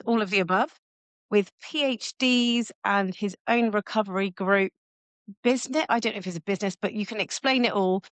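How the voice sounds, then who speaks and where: A woman's voice: fairly high pitch, no room sound. A woman hosting a podcast, reading an intro and asking her guest questions in an earnest way. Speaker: podcast host.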